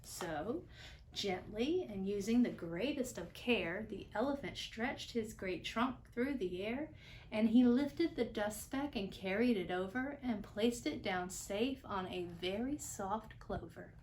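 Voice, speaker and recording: A woman storyteller narrating a children's picture book aloud in an expressive style. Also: medium pitch, unhurried, slight background noise, low-quality recording.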